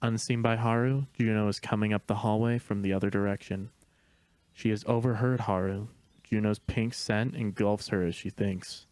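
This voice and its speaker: Low, even-voiced male narrator. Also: moderate pace. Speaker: narrator reading stage directions. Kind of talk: scripted narration.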